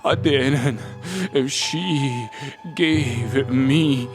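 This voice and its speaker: Troubled lonely young man, dramatic monologue, slow halting delivery over prominent music. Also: mid-range voice. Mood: tense, yearning. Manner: deliberate, halting short phrases. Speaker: actor and narrator. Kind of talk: dramatic audio play monologue.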